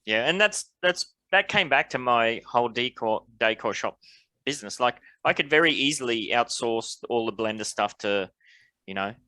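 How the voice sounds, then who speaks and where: Man, podcast host, relaxed chat, bright voice, dry sound. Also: medium pitch, normal speed, no echo.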